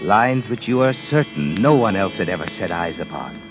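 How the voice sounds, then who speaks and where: Sly, ominous radio mystery narrator. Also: male voice, sits low, animated, moderate pace. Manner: taunting, insinuating, dramatic. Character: sinister knowing male narrator taunting a schemer. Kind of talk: golden age radio mystery narration, second person. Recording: muffled vintage radio broadcast over music.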